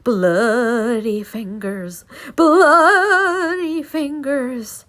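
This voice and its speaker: Slow, moaning storybook ghost. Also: female voice, high-pitched, fairly bright tone, faint music behind, heavily acted. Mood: eerie moaning. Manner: drawn-out moaning. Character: moaning ghost with bloody fingers, eerie and mournful.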